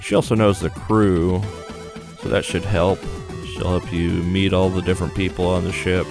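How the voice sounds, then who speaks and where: Deep-voiced man casually narrating a game. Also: flat delivery, moderate pace. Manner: loose, offhand paraphrasing of on-screen story. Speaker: gaming video host. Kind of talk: casual narrated first look of a visual novel. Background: video game music.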